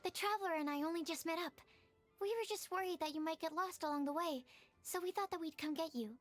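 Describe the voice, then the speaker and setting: A woman playing a polite, earnest, bookish young woman in a video game, with a careful, formal and gently hesitant way of talking. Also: extremely high pitch, quick pace, faint music behind.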